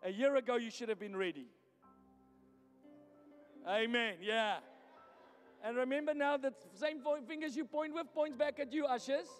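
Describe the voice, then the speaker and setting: South African male pastor, high-pitched, blunt and teasing from the pulpit, echoey hall. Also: moderate pace, pauses often, soft background music. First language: Afrikaans. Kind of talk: sermon. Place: church hall.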